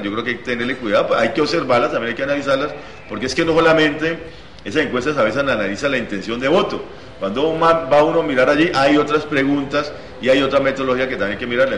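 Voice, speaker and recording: A man's voice: mid-range voice, a bit bright, slight background noise. Insistent man in a roomy studio. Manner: argumentative, insistent listing. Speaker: panel guest, political analyst. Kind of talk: TV panel debate.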